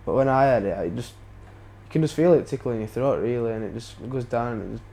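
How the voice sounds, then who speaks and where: A teenage man with a dark, mellow voice. He talks casually and hesitantly, with lots of fillers. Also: medium pitch, quick pace, gravelly. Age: teens. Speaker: interviewee. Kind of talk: casual interview.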